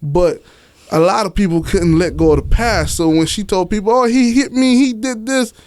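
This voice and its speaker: A man with a notably high voice talks as a guest in a casual interview. He tells his story candidly in slangy, profane speech, often circling back to repeat a phrase. The sound is dry and close, with no room echo. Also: normal speed, a little mellow. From Miami, United States. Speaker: interview guest, author and stage play director.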